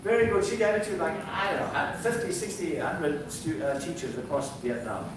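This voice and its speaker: A man's voice: fairly high pitch, normal speed. A man who is a visiting professor giving a university lecture, explaining slides step by step with frequent fillers. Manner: explaining slides, reads prompts aloud, many fillers. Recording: very echoey hall, distant mic, background noise.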